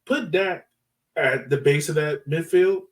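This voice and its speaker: A man's voice: on the high side, a little slow, distant mic. A man hosting a sports podcast, talking through analysis in an informal, slangy way. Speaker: podcast host. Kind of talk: casual sports analysis chat.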